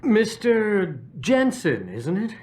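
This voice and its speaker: An actor playing a self-important political activist leader in a video game, polite, measured and oratorical. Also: male voice, high-pitched, wildly swooping pitch, darkish tone, exaggerated voice. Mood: courteous, smug. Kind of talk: video game cutscene acting.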